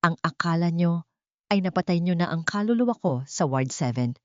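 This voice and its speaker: A woman's voice: on the low side, expressive, normal speed, no echo, lightly acted. Woman voicing a commanding, vengeful wronged man, muffled recording. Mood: commanding defiance. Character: gaunt, vengeful man returned from death, commanding.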